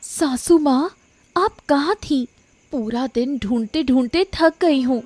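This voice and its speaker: A woman's voice: sits high, slow, darkish tone. A woman voicing an anxious young daughter-in-law in a cartoon story, speaking in a pleading tone. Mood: worried.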